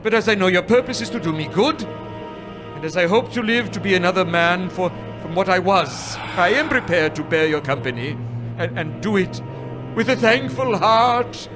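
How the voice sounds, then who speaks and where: A man's voice: high voice, normal speed, music in the background. A man playing a frightened old miser. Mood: fearful, pleading. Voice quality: lively, imploring. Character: frightened old male miser pleading with a ghost. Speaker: radio play actor. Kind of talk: live radio play acting.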